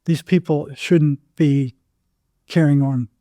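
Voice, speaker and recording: A man's voice: on the high side, fairly even intonation, slow pace, warm tone, dry recording. An older American man telling anecdotes casually as an interview guest, acting out bits of dialogue as he goes.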